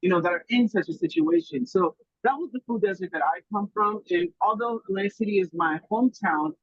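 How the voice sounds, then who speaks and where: High-voiced husky man on a muffled line. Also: expressive, brisk, a bit bright, dry recording. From Germany. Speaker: guest food grower. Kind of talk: casual podcast conversation.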